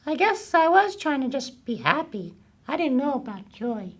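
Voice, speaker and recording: A woman's voice: high voice, very animated, moderate pace. A woman voicing a childlike, hesitant young boy puppet in a children's puppet show. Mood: curious, earnest. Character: glum, confused young boy puppet named Bobby.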